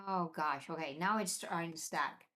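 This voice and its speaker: A young woman from Venezuela hosting a live stream, chatting excitedly and answering viewers' comments. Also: deep voice, moderate pace. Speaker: livestream host.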